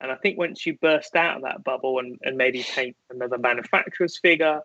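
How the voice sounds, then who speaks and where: A man's voice: mid-range voice, fairly quick, sharp tone, no room sound. A man co-hosting a podcast, chatting casually and enthusiastically. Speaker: podcast co-host. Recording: dry lo-fi remote audio.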